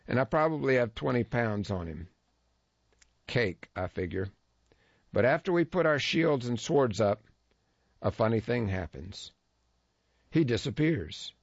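A man's voice: mid-range voice, no room sound. A man reading a first-person feature story aloud in a wry, dryly humorous way. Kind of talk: scripted first-person narration. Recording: dull lo-fi dry recording.

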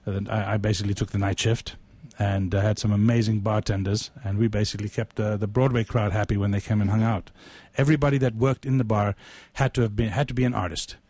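A man's voice: on the low side, steady tone, quick pace, a little mellow, no room sound. A man hosting a radio show, telling stories and presenting poetry in a spoken, literary way. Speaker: radio show host and poet. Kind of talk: conversational storytelling and poetry recitation.

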